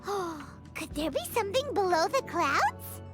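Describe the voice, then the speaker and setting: Tiny floating game sidekick: childlike, squeaky, excitable, hugely animated, very high register. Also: female voice, a little slow, full character voice. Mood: curious. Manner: exclamatory, bubbly, refers to self in third person. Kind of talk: anime video game voice acting. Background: loud background music.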